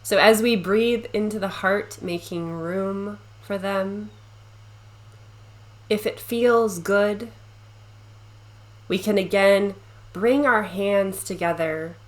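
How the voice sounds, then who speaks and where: A woman leading a guided meditation, speaking gently and soothingly with long pauses between short phrases. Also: medium pitch, rough recording.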